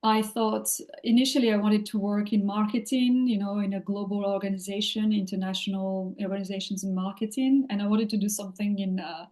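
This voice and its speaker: Woman, warm mellow tone; halting, reflective interview answers. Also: medium pitch, fairly even intonation. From France. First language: French. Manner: thoughtful, halting, many fillers and restarts. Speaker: podcast guest, leadership coach. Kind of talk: interview conversation.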